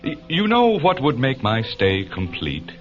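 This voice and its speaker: An actor playing a genteel, hinting off-world trade delegate in a radio drama, suave and hesitant. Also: male voice, mid-range voice, wildly swooping pitch, unhurried. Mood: smooth, coaxing. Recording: dull vintage radio sound with music behind.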